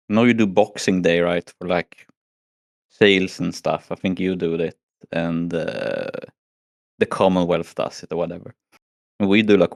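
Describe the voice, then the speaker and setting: A hoarse man from Sweden chatting casually as a guest and grumbling about the holidays. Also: low-pitched, no echo, clean studio sound. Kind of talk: casual chat.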